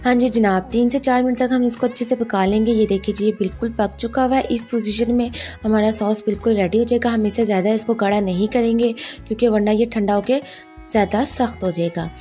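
Woman cooking-channel host, reassuring voice-over, soft music bed. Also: fairly high pitch, steady tone, a little fast. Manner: warm, reassuring, repeats "ye dekhiye ji" and "ji janab".

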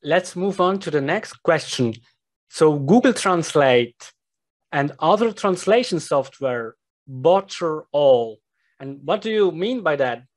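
Slow-speaking man hosting a video call. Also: on the high side, animated. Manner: slow, careful questioning. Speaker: podcast host. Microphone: headset on a video call.

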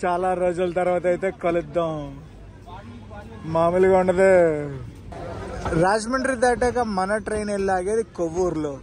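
A man's voice: high voice, steady tone, normal speed, long pauses. Chatty man narrating a train ride. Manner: chatty, addresses viewers directly. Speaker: travel vlogger. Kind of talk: train journey commentary. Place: inside a moving train at night. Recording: noisy train carriage recording. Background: train rattle and station noise.

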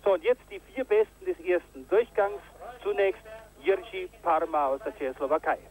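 A man giving live commentary on a ski jumping event, getting excited on big jumps, from an old broadcast tape. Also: high voice, normal speed, low-quality recording. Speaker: sports commentator.

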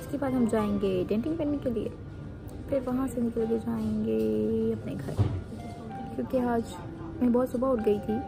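A young Pakistani woman with a slightly high voice talks in a chatty, informal vlog style. She mixes English words into her casual talk, and music plays loudly over her. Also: fairly even intonation, normal speed, creaky. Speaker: vlogger.

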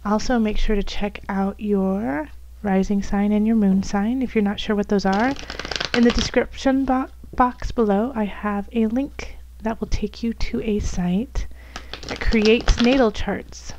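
A woman with a hoarse, raspy voice from bronchitis, gently giving a tarot reading straight to her viewers. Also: medium pitch, steady tone, a little slow, lo-fi sound, background noise. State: hoarse from bronchitis, voice coming and going. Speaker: tarot reader and channel host. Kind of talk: soft spoken tarot card reading to viewers.

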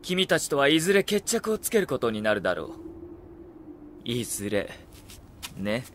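An actor playing a coolly ruthless, suave young military strategist in an anime audio drama, speaking in a smooth, measured, condescending way. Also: male voice, medium pitch, very animated, fairly bright tone, a little hiss or hum. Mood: coolly menacing.

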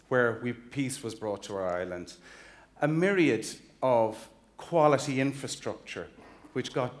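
A man in his fifties with an Irish accent, giving an earnest, emphatic conference keynote on a large convention hall stage, walking as he talks and often restarting phrases. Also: mid-range voice. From Ireland. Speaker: chief executive giving a keynote. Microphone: stage mic, walking the stage with a slide clicker.

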